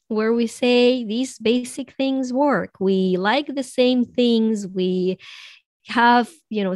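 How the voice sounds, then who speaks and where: Raspy-voiced woman coach, reflective. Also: fairly high pitch, darkish tone, no echo, clean studio sound. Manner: reflective, explains with many "you know" asides. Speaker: possibility coach. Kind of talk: podcast conversation on soulmates.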